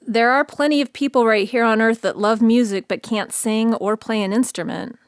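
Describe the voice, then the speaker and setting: A woman's voice: a little high, normal speed, clear, resonant tone, no echo, clean studio sound, natural voice. A woman playing a sensible, friendly radio show host in a radio play, speaking in a casual, matter-of-fact way. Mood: skeptical, thoughtful.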